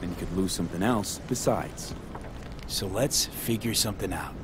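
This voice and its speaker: V, actor as a low-voiced, terse mercenary. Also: male voice, normal speed, lightly acted. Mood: firm. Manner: terse, clipped, matter-of-fact. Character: cool, pragmatic street mercenary man. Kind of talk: naturalistic video game acting. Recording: vehicle and ambience noise behind.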